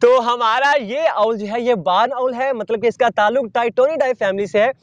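A young man hosting a wildlife channel, explaining calmly to the viewer while sitting on open sandy ground outdoors. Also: extremely high pitch, no echo, muffled recording. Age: young adult. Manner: measured, teacherly, addresses viewer directly.

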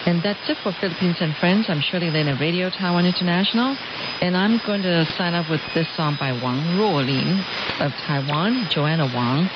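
A woman's voice: fairly low pitch, normal speed. A woman radio presenter reading scripted news. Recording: hissy, noisy, dull shortwave radio reception. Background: loud static hiss.

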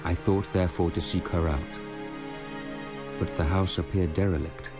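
An actor playing a weary, grieving young Judean nobleman who narrates his past in a radio drama, measured and solemn. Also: sits low, steady tone, music in the background, lightly acted. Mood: weary, sorrowful.

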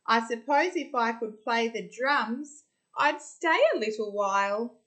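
A woman's voice: fairly high pitch, unhurried, a bit bright. An Australian woman voicing a big, sleepy, grumpy storybook bear in an emphatic, rhythmic way. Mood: tempted.

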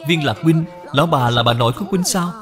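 A man's voice: fairly high pitch, fast talker, big-room sound, music in the background, natural voice. A man voicing a dutiful, kind-hearted little boy in a cartoon dub, polite and earnest. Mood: polite, concerned.

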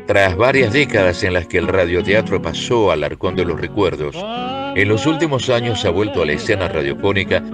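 A man's voice: low voice, animated, a little fast, loud music behind the voice. A man reading scripted documentary narration.

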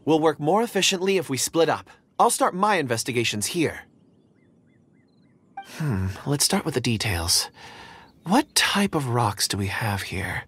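A man's voice: mid-range voice, big pitch swings, a little slow, fairly bright tone, slightly rough, full character voice. A man playing a studious, polite young investigator in a video game, keen and inquisitive.

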